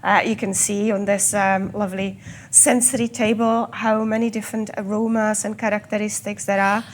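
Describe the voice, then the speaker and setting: A woman from the Czech Republic with a Czech accent and a slight Scottish tinge, guiding a tour of a whisky collection and explaining it patiently, with small pauses. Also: mid-range voice, level tone, a little hiss or hum. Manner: fluent, with filler pauses and slow stressed words. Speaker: whisky guide. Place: whisky collection room, indoors.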